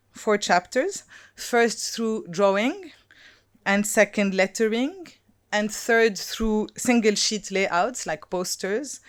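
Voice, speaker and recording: Thoughtful woman with a smooth voice. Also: medium pitch. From Lebanon. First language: Arabic. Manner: thoughtful, halting, many fillers and restarts. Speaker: designer giving a talk. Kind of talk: conference talk.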